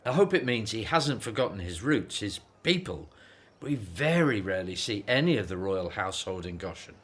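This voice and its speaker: A man's voice: on the low side, sing-song, moderate pace, fairly bright tone. An actor in a radio drama playing a weary, aging Hebrew slave father who is patient, earnest and devout. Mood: hopeful, troubled.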